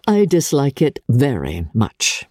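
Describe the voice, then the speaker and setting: A woman voicing a dry, rueful older gentleman, a weary father, blunt and wry in a dramatic reading of a classic novel. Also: extremely low pitch, huge pitch swings, takes it slowly, breathy edge, no echo, heavily acted. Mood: reluctant.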